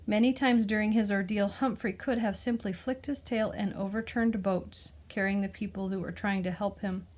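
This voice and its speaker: A woman's voice: medium pitch, steady tone, moderate pace. A woman reading a children's picture book aloud expressively, like a teacher, with explanatory asides and questions to the listener. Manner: explains words and asks the listener gentle questions.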